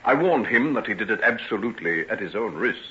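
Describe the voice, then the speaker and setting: An actor playing a guarded Victorian gentleman doctor in an old-time radio drama, with measured, hesitant answers. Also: lowish voice, animated. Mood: earnest, careful. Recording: dull vintage radio recording.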